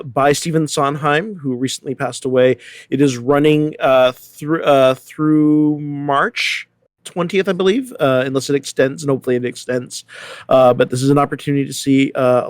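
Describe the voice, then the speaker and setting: A man's voice: mid-range voice, clear, resonant tone, dry recording, clean studio sound. A man speaking as a panel guest in a casual discussion, emphatic and discursive.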